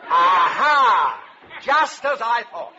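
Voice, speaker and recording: A blustery, high-strung school principal. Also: male voice, big pitch swings, extremely slow, pushed and bright, a faint crowd, exaggerated voice. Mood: blustering, exasperated. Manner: pompous, interrogating. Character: blustery, suspicious middle-aged male high school principal. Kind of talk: 1940s-50s radio comedy acting. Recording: dull vintage radio, hiss, studio audience.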